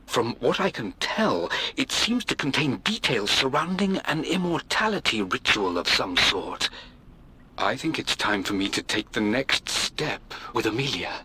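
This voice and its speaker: Casting: an articulate, adventurous British aristocrat, fond and enthusiastic, very animated, bright tone, reading a game journal. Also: male voice, mid-range voice, a little hiss or hum, rough recording. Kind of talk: dramatic game journal narration.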